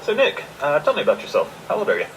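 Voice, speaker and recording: A man interviewing podcast guests in a friendly, upbeat way. His pitch moves a lot and his tone is bright. He sounds a little away from the microphone. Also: huge pitch swings, very fast, distant mic, a little hiss or hum, low-quality recording. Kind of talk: casual interview.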